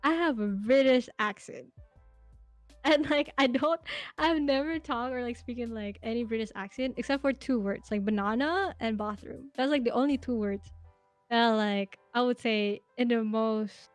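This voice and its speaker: A woman's voice: a little high, a little slow, dry recording, faint music behind. A woman in her twenties, a podcast guest chatting casually, telling rambling stories with lots of fillers and giggles. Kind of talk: casual interview chat.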